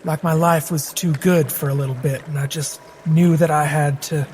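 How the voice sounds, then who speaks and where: A man playing a tabletop game, narrating and voicing his character in a halting, understated way. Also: a little high, steady tone, a little mellow, faint music behind. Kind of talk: slow roleplay and narration of own character.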